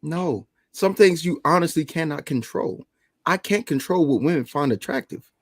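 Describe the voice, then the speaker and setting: A man on a podcast panel debating dating, animated and argumentative. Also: on the high side, normal speed, no echo, clean studio sound. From Atlanta area. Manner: animated, argumentative, laughs mid-point.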